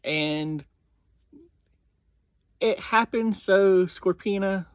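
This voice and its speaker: A man hosting a review series, giving a casual, informal recap in halting phrases that often open with "well". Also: sits high, clean tone, no room sound.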